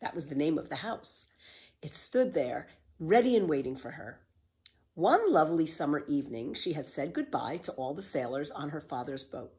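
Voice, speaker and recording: A woman reading a children's book aloud as a storyteller, with expressive, emphatic scripted narration. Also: deep voice, moderate pace.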